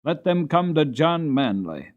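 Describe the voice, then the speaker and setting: A man's voice: on the high side, big pitch swings, unhurried, a little mellow, highs cut off. A man voicing a loyal, plain-spoken Victorian coachman in an audiobook, earnest, emphatic and respectful. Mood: staunch, indignant. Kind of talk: dramatic audiobook character reading.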